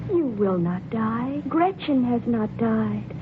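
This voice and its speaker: An actress voicing a gentle, eerie female spirit of the lilies, chanting in drawn-out repeats, 1940s radio drama on an old recording. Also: fairly high pitch, lively intonation, phone-quality sound, background noise. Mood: soothing, eerie.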